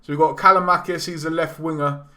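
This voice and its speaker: Chatty gamer, slightly hoarse. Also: male voice, a little high, fairly even intonation, fast, bright, forceful tone. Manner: chatty, rambling, with frequent ums and self-corrections. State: losing his voice. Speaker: gaming YouTuber. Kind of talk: casual gameplay commentary.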